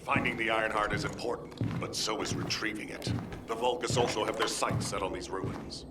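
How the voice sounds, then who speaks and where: A man's voice: lowish voice, fairly even intonation, fairly quick, very noisy, natural voice. A man playing a stern, composed military commander in a video game, serious and businesslike. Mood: cautioning. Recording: echoey, roomy sound.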